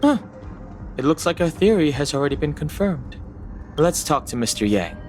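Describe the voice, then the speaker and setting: A man's voice: a little high, big pitch swings, normal speed, darkish tone, faint music behind. A man playing a level-headed, studious young crew member in a video game, explaining things matter-of-factly.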